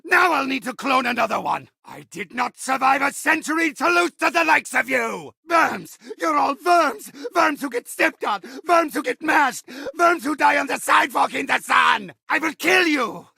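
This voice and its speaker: A mad scientist villain, over a hundred years old, taunting and raging at the player in a video game. The voice is high-pitched and gravelly, with a sharp, strained edge. It is recorded dry and close in a studio. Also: male voice, sing-song, normal speed, no room sound, heavily acted. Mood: furious. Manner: theatrical shouting tantrums and gloating taunts. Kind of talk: video game announcer voice lines.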